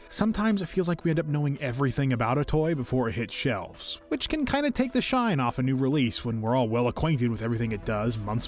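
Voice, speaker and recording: A young man narrating a review in a casual, expressive, enthusiastic and conversational way. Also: mid-range voice. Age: young adult. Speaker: video host, toy collector. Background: music.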